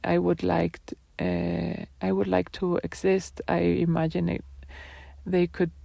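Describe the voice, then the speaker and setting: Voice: mid-range voice, little pitch movement, measured pace, gravelly, warm tone, dry recording. A person presenting their own design projects in a live talk, speaking hesitantly with many fillers and restarts.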